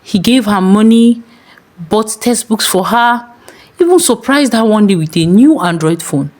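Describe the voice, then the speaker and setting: A woman with a Nigerian accent narrating a scripted story dramatically, with deliberate pauses. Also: mid-range voice, animated, a little mellow.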